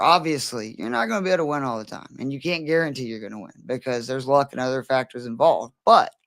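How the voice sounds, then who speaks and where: A man's voice: mid-range voice, a bit bright, no room sound, studio quality. A man hosting a golf betting podcast, chatting casually with joking, profane banter. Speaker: podcast host.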